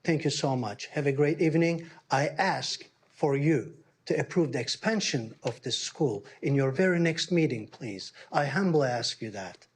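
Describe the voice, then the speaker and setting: A man's voice: medium pitch, expressive, normal speed, few pauses, slight background noise. An older man with a Turkish accent, making an earnest, humble public comment at a meeting and searching for his words. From Istanbul, Turkey. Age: middle-aged or older, a grandfather. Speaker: grandparent speaking as public commenter.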